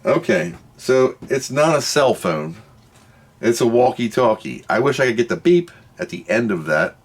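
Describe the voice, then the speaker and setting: A man's voice: medium pitch, very animated, normal speed. A man giving a casual, unscripted product review as host, thinking aloud and grumbling as he goes. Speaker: host of a product test video.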